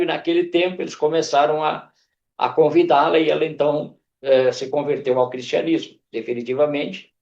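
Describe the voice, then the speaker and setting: An older man from northern Portugal, a church elder, recalling his life slowly with restarts in a studio interview. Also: on the high side, gravelly, a little mellow, highs cut off. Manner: halting, reflective storyteller with frequent restarts. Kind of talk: unscripted interview. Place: podcast studio.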